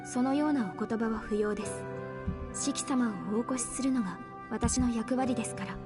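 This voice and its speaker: A woman playing a stiff, expressionless young maid in a visual novel game, speaking flatly and with formal deference. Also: on the high side, rapid-fire, natural voice. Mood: flat, deferential. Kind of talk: Japanese game voice acting. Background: loud game music.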